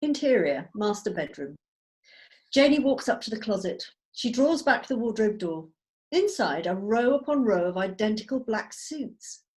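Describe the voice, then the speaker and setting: A woman's voice: mid-range voice, very animated, moderate pace, a little mellow. A woman reading scripted stage directions as narrator over a video call.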